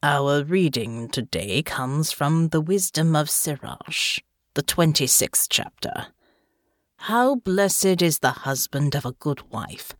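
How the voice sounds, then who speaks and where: A woman voicing a grave, stately male bishop who intones slowly and ceremonially in an audiobook. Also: low-pitched, lively intonation, no echo, studio quality, pitched below the performer's own voice. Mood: solemn, reading scripture. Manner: slow, intoning, ceremonial delivery. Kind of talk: liturgical recitation in an audiobook.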